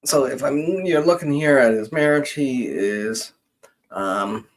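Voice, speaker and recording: A man hosting a casual genealogy stream, talking in a halting way as he thinks aloud during a screen-share. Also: medium pitch, rough recording.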